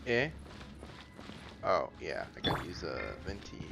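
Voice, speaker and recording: A man's voice: low-pitched, sing-song, a little slow, gravelly, background noise. A man giving casual gameplay commentary in short, offhand asides. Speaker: game streamer. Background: game music.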